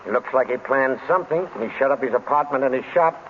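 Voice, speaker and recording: An actor playing a blunt, dogged police inspector in an old radio drama, forthright, brisk and tough in manner. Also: male voice, mid-range voice, a little hiss or hum, natural voice. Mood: frank, businesslike. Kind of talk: 1930s-40s radio drama acting. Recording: thin, narrow-band old radio recording.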